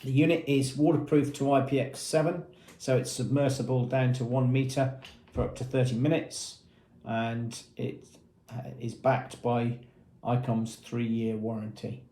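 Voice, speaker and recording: A man's voice: mid-range voice, fairly even intonation, measured pace, mellow, roomy sound. A man presenting a product demonstration in a methodical, matter-of-fact, explanatory way.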